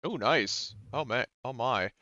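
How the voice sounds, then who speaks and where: A man's voice: mid-range voice, animated, a little slow, pushed and bright, no echo, lo-fi sound. A man working as a tabletop game master, explaining rules and narrating combat in rambling, broken-off phrases peppered with filler words. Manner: rambling, talks in broken-off phrases with frequent 'like' and 'you know'.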